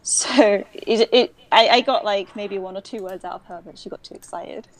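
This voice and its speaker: A woman's voice: mid-range voice, moderate pace, poor audio. Chatty young London woman, podcast guest. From England. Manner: chatty, frequent ums and self-corrections. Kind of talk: casual conversational storytelling.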